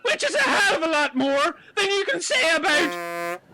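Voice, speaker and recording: Man playing an excitable, very high-voiced indignant castaway. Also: moderate pace, a little hiss or hum, heavily acted, rough recording. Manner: fussy, melodramatic, blustering. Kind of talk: amateur comedy audio drama. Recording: honking, blown-out amateur audio.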